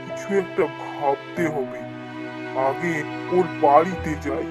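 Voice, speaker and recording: A man's voice: fairly high pitch, steady tone, takes it slowly, over music. A man playing a wily village woodcutter in a cartoon fairy tale, feigning innocence in a theatrical, pleading way. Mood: brooding, scheming.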